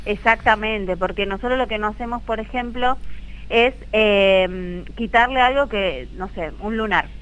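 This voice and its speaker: Bright-voiced Argentine woman in her thirties. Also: mid-range voice, clear, resonant tone, muffled recording. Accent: Argentine Spanish. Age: 30s. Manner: earnest, lots of quick "sí, sí" agreement. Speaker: guest, embalmer. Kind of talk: radio interview.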